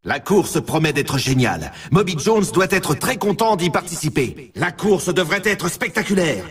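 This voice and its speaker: High, husky, hyped race announcer. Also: male voice, very animated, moderate pace, distant mic, exaggerated voice. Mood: excited, anticipating. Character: hyped male snowboard race announcer, upbeat showman. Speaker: game announcer. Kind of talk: excited sports commentary.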